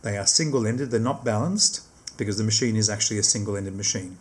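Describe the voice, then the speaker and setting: A man's voice: fairly low pitch, brisk, slightly rough. A man giving a casual hands-on product demonstration, explaining step by step and often rephrasing his instructions.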